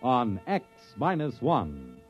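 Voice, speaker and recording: A male radio announcer of the 1950s reads from a script. His mid-pitched voice moves expressively, and the vintage recording is dry and dull. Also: wildly swooping pitch, takes it slowly, loud music behind the voice.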